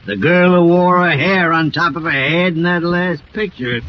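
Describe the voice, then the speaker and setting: A man's voice: a little high, moderate pace, close to an everyday voice. An older man playing a kindly, wry small-town mayor in 1940s radio drama, with a folksy, chuckling manner. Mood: gently teasing. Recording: muffled noisy 1940s radio recording.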